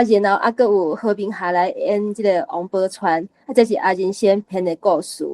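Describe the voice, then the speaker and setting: A woman's voice: mid-range voice, darkish tone, dry recording. A woman guest sharing her thoughts on a story, punctuating her points with short tag particles. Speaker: reading group guest. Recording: faint music behind. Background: faint music.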